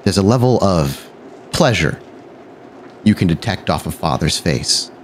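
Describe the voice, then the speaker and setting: A man's voice: low voice, expressive, unhurried, a little hiss or hum. A man working as a game master, narrating a tabletop roleplay podcast with dramatic, cinematic scene-setting.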